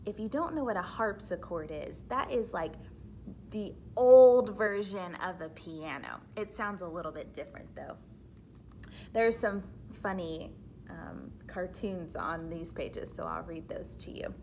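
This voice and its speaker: A woman reads a children's book aloud at an unhurried pace with a lively, moving pitch. She explains things simply as she goes, like a teacher. There is clear background noise on the recording. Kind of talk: read-aloud storytelling with explanatory asides for children.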